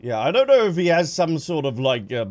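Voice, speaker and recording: A man's voice: fairly high pitch, very animated, fairly quick, darkish tone. A man giving casual, reactive commentary as he plays through a game's story. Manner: chatty, reactive, exclamatory. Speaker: game streamer reacting to story dialogue.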